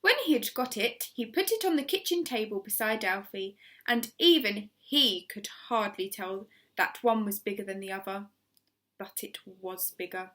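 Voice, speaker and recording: A woman teacher reading a children's story aloud, expressively, with measured and emphatic dramatic phrasing. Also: mid-range voice, sharp tone.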